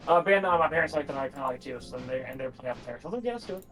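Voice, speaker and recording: A young adult friend chatting casually while gaming, with quick reactions and swearing at the game. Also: mid-range voice, a bit bright, faint music behind.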